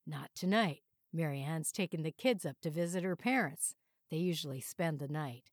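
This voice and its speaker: A woman voicing an eager, smooth-talking businessman having an affair, confident and flirtatious, in an audiobook. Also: sits low, normal speed, dry recording, clean studio sound. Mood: seductive, confident.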